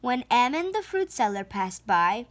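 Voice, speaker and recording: Female storyteller, fairly high voice, very unhurried, emphatic children's read-aloud. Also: very animated. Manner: slow, deliberate, with emphatic phrasing. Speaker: storyteller reading a picture book aloud.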